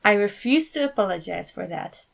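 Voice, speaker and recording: A woman voicing a sweet, flirtatious male entertainer in a story reading, warm and animated. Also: mid-range voice, normal speed, vocal fry. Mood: playful.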